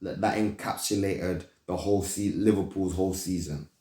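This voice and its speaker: Deep-voiced man rambling about football. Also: steady tone, moderate pace, dark tone, echoey room. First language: not English. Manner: rambling, restarts, slangy. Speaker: football pundit, channel host. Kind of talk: casual football talk. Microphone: desk mic, loose.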